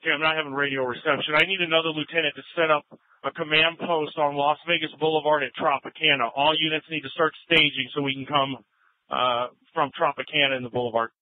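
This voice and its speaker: A man's voice: a little high, steady tone, fast, no room sound. A male police officer giving urgent instructions over police radio. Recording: narrow tinny scanner radio.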